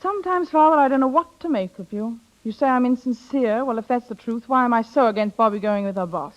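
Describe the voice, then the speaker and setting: An actress playing a meddling, gushy and insincere married older sister in 1930s radio style. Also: female voice, fairly high pitch, quick pace, close to an everyday voice. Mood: indignant, gushing. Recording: muffled 1930s radio recording.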